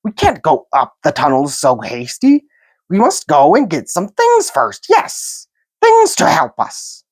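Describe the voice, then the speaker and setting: A man voicing a slimy, wretched male cave creature who hisses and whines in a high, drawn-out, wheedling voice. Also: huge pitch swings, breathy edge, no room sound, full character voice. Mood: sly, wheedling. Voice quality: hissing, sibilant. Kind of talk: audiobook character voice.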